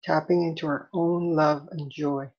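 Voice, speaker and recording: Slow, low-voiced woman guiding meditation. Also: fairly even intonation, highs cut off. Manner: soothing, deliberate, measured phrases with long pauses. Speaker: meditation instructor. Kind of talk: guided meditation.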